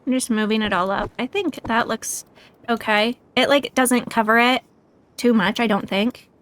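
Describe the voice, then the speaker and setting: Higher-pitched woman musing aloud on stream. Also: normal speed, fairly bright tone. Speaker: game streamer. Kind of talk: casual live gaming chat, thinking aloud. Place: indoors, livestream.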